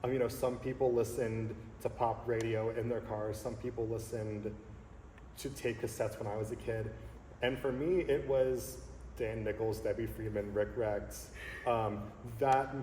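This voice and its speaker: A man in his thirties, a songleader talking earnestly and reflectively as a guest in a casual interview, pausing often to find his words. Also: fairly low pitch, fairly even intonation, warm tone. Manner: earnest, reflective, halting with fillers. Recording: echoey hall with faint noise.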